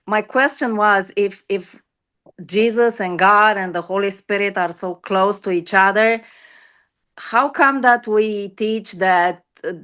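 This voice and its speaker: A woman's voice: medium pitch, a bit bright, smooth. A woman, a chaplain, speaking up hesitantly on a video call and often repeating her words. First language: Romanian. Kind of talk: question from the audience on a video call.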